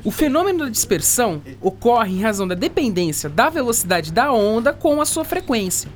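Animated, high-voiced Brazilian schoolteacher played by a woman. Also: brisk. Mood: patient, explanatory. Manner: didactic, explanatory. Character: patient, encouraging male school science teacher. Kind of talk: scripted educational radio drama.

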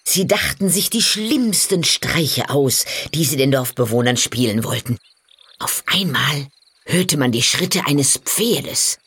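Low, husky woman storyteller. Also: slow. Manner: expressive storytelling delivery. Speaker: storyteller, narrator. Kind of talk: scripted fairy-tale narration.

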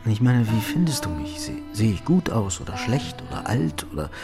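A man's voice: fairly low pitch, very animated, moderate pace, over music. An actor playing a weary, awkward middle-aged office man and father in a radio drama, hesitant and self-conscious. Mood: insecure, probing.

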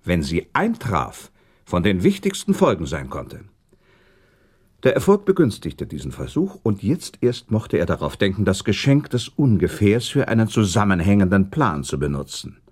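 An actor playing a rational, self-assured prince in a German radio drama, speaking in formal, deliberate, rhetorical phrases. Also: male voice, on the low side, big pitch swings, darkish tone, slightly rough. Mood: reasoning, insistent. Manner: measured, rhetorical, deliberate delivery.

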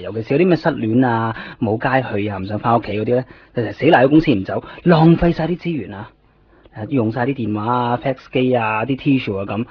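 A man's voice: medium pitch, close to an everyday voice. A man playing a fussy, penny-pinching office manager in a radio drama, nagging with repeated questions. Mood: preachy. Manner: nagging, repetitive rhetorical questions. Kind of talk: Cantonese radio drama acting. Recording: muffled lo-fi radio recording, faint music.

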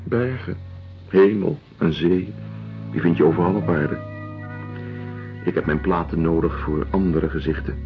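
Muffled radio-play sound with music behind. a thoughtful, sceptical doctor speaks in a very low voice with a gravelly edge. His dialogue is restrained. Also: a little slow, long pauses. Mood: calm, dismissive. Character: Dr Chekhov, thoughtful, sceptical male doctor and writer.

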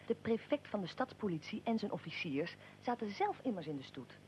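An actress playing a precise, probing investigator in a radio drama, explaining events in a matter-of-fact way. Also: female voice, mid-range voice, very animated, fairly quick, darkish tone, slight background noise, lightly acted. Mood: critical. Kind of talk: radio drama, interview with narrated account.

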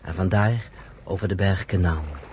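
Man narrating an old radio drama, lowish voice, bit of background noise. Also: normal speed, a little mellow.

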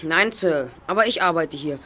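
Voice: mid-range voice, expressive, normal speed, pushed and bright, slight background noise. A young performer playing a self-assured teenage boy detective in a German audio drama, polite, articulate and slightly stilted. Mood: polite, evasive.

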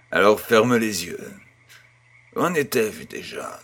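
A man playing a kindly grandfather who tells a bedtime tale in a measured, gentle, husky voice. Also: fairly low pitch, lively intonation, heavily acted. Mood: tender, playful. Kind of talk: scripted audio storytelling.

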